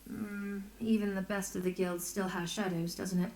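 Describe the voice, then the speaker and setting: A woman's voice: mid-range voice, level tone, normal speed, a little mellow, natural voice. Woman playing a wry, inquisitive game companion. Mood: wry, probing. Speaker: game character. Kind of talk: scripted fantasy game dialogue. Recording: light background ambience and noise.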